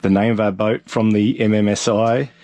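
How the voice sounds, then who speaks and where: A man's voice: fairly low pitch, level tone, normal speed, darkish tone. A middle-aged man chatting casually as a host, asking curious questions to learn more. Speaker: host of a boating channel. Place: small wooden boat wheelhouse on the water.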